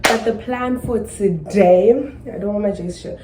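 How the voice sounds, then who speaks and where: A young South African woman chatting to her camera in a rambling, casual way, tired and low on energy. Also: unhurried, background noise. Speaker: vlogger. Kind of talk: casual vlog chat to camera. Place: indoors, then out in the city streets.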